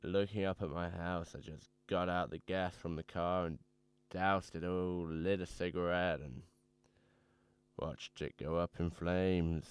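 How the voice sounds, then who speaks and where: A man playing a troubled, hard-drinking man who tells his own story in halting, haunted phrases for a radio drama. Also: deep voice, clean tone, no room sound. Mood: remorseful confession. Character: troubled, drunken man confessing his ruin. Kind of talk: dramatic first-person radio drama monologue. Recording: music playing behind the voice.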